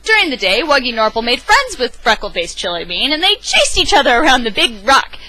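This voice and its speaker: A woman's voice: sits high, big pitch swings, fairly quick, sharp tone, soft background music, low-quality recording. A woman reading a children's story aloud in a dramatic narrating style. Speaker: storybook reader. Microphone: webcam.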